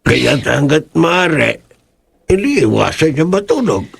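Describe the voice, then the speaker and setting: Very gravelly older father played by a man. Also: a little high, sing-song, slow, exaggerated voice. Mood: concerned. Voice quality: gravelly, raspy. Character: concerned, gentle older father of grown daughter.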